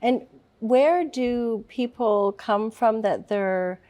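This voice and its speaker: A woman hosting a talk show, asking halting, hesitant interview questions. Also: fairly high pitch, mellow.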